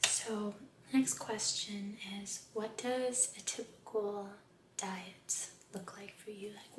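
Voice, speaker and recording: Soft breathy woman doing asmr spa roleplay, super slow and gentle, roomy echo w faint noise. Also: medium pitch. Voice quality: soft, breathy, often near whisper. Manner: gentle, soothing, unhurried, careful. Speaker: ASMR performer playing a med spa aesthetician. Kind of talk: soft-spoken ASMR personal attention role-play.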